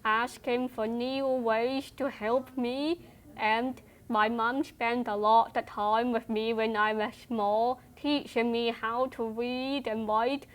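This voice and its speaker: Slow, higher-voiced young woman. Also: steady tone. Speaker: interviewee. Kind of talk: personal storytelling.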